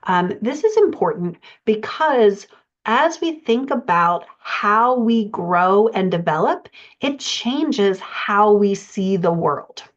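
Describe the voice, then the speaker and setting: A woman's voice: medium pitch, expressive, slow pace. A woman giving an instructional talk as a writing coach, explaining step by step and posing rhetorical questions. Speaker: writing coach and podcast presenter. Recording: dull, lo-fi audio.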